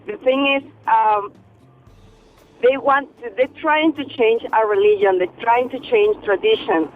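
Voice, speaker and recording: A woman from Peru with a Peruvian Spanish accent, calling a radio show and giving her opinion in an earnest, emphatic, halting way. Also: a little high, faint music behind. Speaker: caller. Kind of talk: phone-in opinion. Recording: tinny phone line.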